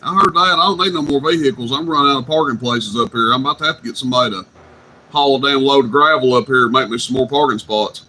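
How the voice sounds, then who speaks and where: A man hosting a CB radio night, chatting casually and telling drawn-out stories. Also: medium pitch, noisy background. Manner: drawling, easygoing storyteller. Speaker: CB radio host.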